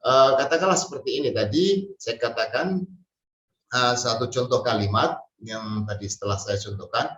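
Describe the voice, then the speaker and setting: A man from Central Java, giving an Arabic language lesson slowly and hesitantly, with many fillers. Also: medium pitch, a bit bright. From Central Java, Indonesia. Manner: slow, hesitant, with many fillers and drawn-out pauses. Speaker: Arabic teacher. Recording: distant mic, roomy and dull sound.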